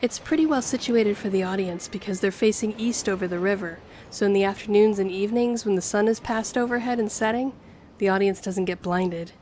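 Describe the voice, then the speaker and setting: A woman's voice: medium pitch, a little fast, a little mellow, close to an everyday voice. A woman playing a friendly, earnest young woman with a shy romantic streak in an intimate audio roleplay, speaking to the listener. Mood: chatty, friendly. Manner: chatty, earnest, flustered hesitations when romantic. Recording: clear background ambience noise.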